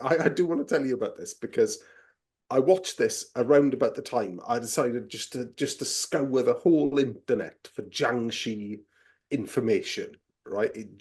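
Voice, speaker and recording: A man's voice: medium pitch, darkish tone. A man co-hosting a casual film podcast, swearing freely as he explains folklore at length. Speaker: podcast co-host.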